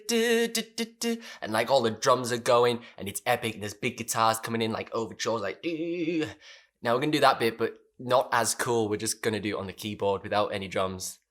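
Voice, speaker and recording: Hoarse British man teaching a song. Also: mid-range voice. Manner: relaxed and chatty, explains step by step. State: hoarse, lost voice. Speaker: music teacher. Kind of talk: instrument lesson.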